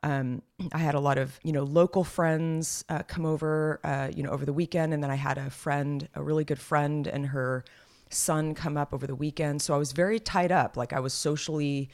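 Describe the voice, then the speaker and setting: A podcast co-host in her thirties talks in a loose, rambling way, stopping and restarting her sentences often. Her voice is slightly raspy and hoarse. She sounds relaxed and conversational. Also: sits low, normal speed. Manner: chatty and rambling, many fillers and restarts. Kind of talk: casual chat.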